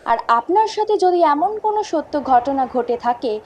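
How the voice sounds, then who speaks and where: A woman's voice: high voice, animated, normal speed, a little hiss or hum. A woman delivering dramatic, scripted horror narration in a suspenseful manner.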